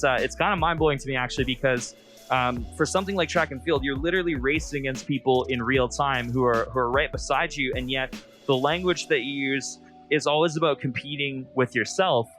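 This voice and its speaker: A man's voice: medium pitch, a little fast, a bit bright, faint music behind. A man hosting a casual interview, asking questions in an easy conversational way. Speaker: interview show host. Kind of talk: casual interview with quick-fire game questions.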